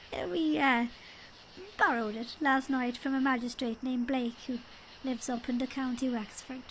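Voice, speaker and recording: A woman's voice: high voice, fairly bright tone, a little hiss or hum, low-quality recording. A woman playing a small, wily, proud leprechaun king with a sly, folksy way of talking in an audio drama. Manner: folksy, sly, teasing storytelling. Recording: music behind the voice. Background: music.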